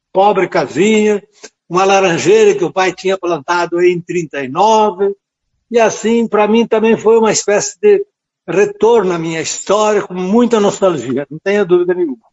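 A man in his late seventies with a southern Brazilian accent from Rio Grande do Sul, a priest telling his story in a halting, earnest, devout way. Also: sits high, a little mellow, dull audio. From rural southern Brazil. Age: late 70s. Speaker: priest and friar, interview guest. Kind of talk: reflective personal storytelling in a radio interview.

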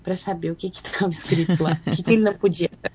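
A woman, explaining literacy as a guest in a relaxed, reflective way. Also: on the low side, fast talker, slight background noise. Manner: reflective, thoughtful. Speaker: guest educator. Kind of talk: conversational explanation.

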